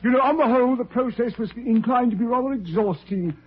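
A muffled old radio recording carries a mild, polite English doctor. His voice is strikingly high for a man, a bit rough-edged, with clipped British understatement. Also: fast. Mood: understated, proper. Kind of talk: 1940s radio drama acting.

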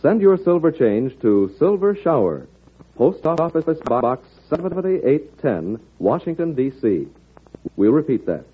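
Over a thin vintage broadcast, an American man delivers a scripted appeal as an announcer. His slightly rough, husky voice moves with lively intonation, earnest and deliberate. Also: a little high, narrow, tinny audio. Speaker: radio announcer. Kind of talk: 1940s radio charity appeal, scripted. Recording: thin band-limited old radio recording.

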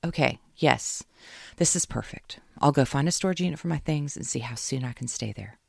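Subdued, searching woman novelist, voiced by the narrator, gravelly, close and dry. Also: medium pitch, moderate pace, no room sound. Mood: weary. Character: lost, unsure woman writer.

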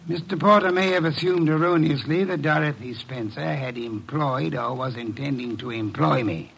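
Imperious brainy radio detective man, formal and terse, heavy gravel in a raspy voice. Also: on the high side, normal speed, fairly bright tone, heavily acted, low-quality recording. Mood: pedantic, grumbling. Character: imperious, curt, erudite male detective genius, heavyset. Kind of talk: old-time radio detective drama acting.

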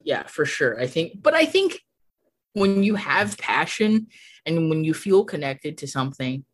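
A woman's voice: deep voice, big pitch swings, a little slow. A young woman guest on a podcast, talking casually and often laughing. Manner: chatty, laughs often, fillers like "like". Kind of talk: casual conversation.

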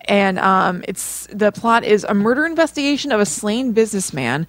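A woman's voice: medium pitch, expressive, moderate pace, no room sound. A woman co-hosting a podcast, chatting in an informal, playful way. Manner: informal, playful, joins in on wordplay. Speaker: podcast co-host. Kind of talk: casual comedic podcast chat.

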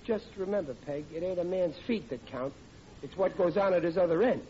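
Through heavy noise and a muffled old radio sound comes a man playing a blustering, easily offended family man. His voice sits high for a man, with rough New working-class grammar. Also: a little fast, mellow, close to an everyday voice. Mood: wounded, touchy. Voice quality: ungrammatical working-class speech. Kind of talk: 1940s radio comedy acting.

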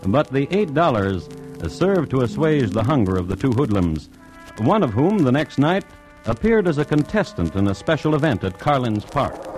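Wry american guy acting as radio crime story narrator, very animated pitch, bit of music behind. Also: moderate pace, lightly acted, poor audio. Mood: dry, wry. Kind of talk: old-time radio drama narration, wry.

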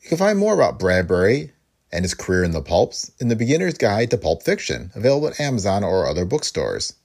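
Male podcast narrator, low gravelly voice, scripted read with big swings in pitch. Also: very animated, fast talker, a little mellow. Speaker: podcast host.

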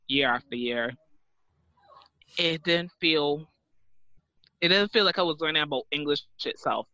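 A man's voice: medium pitch, bright, forceful tone, dry recording. A man with a Barbadian accent, speaking earnestly in a class discussion over a video call. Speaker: student in a linguistics discussion. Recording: dry, dull lo-fi call audio.

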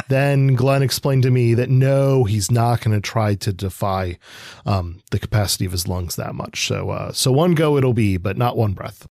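A man co-hosting a podcast, discussing literature in a measured, analytical, conversational way. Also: mid-range voice, a little mellow, dry recording, clean studio sound. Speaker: podcast co-host.

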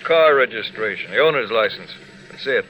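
A man's voice: fairly low pitch, lively intonation, a little fast, bright, forceful tone, poor audio. An actor playing a stern, suspicious policeman in an old radio drama, curt, sardonic and commanding.

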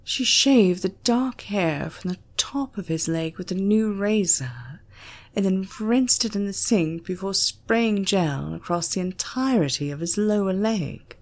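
A woman's voice: mid-range voice, expressive, a little slow, a little mellow. A woman with a British accent giving a dramatic narration of a scripted story. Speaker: audiobook narrator.

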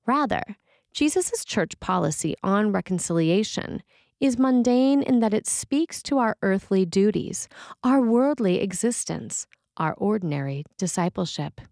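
A woman's voice: medium pitch, animated, measured pace, a little mellow, no echo, studio quality. A woman priest reading a scripted sermon for a podcast.

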